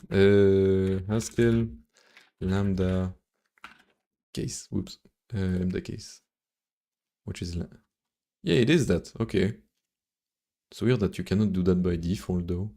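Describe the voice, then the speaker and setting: A man with a French accent and a very low voice, casually commenting on his own coding. He takes his time, muttering hesitantly to himself, and his tone is dark and mellow. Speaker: live-streaming programmer.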